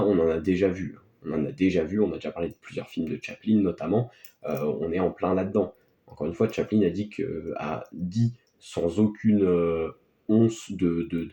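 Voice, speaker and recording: A man's voice: low-pitched, dark tone. A man gives casual, unscripted film commentary as a video host, in a hesitant, rambling, offhand way. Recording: echoey, roomy sound.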